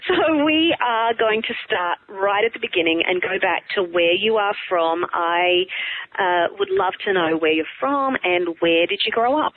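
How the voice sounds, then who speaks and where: A woman's voice: mid-range voice, animated, unhurried. An Australian woman hosting a podcast interview, asking questions in an upbeat, enthusiastic manner. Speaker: podcast host. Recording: tinny phone line.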